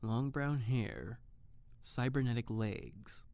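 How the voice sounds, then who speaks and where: A man playing a theatrical, flirtatious detective who narrates his own case in an audio drama, in a deliberate noir manner. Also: mid-range voice, gravelly, a little mellow, no room sound, clean studio sound. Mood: businesslike.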